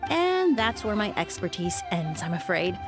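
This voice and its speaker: A woman voicing a composed young perfumer in a video game, speaking in a measured, explanatory way. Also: on the low side, sing-song. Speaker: perfumer with medical knowledge. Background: faint game music.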